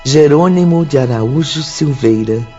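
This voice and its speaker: A man's voice: fairly high pitch, measured pace, warm tone. A man reading scripted narration as the narrator of a radio drama. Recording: muffled lo-fi audio under music. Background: string music.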